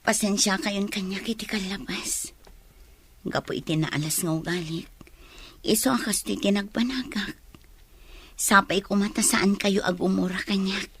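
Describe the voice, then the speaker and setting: Husky, remorseful young woman. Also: medium pitch, unhurried, long pauses. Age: young adult. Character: remorseful pregnant young woman, humbly apologizing. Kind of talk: radio drama acting.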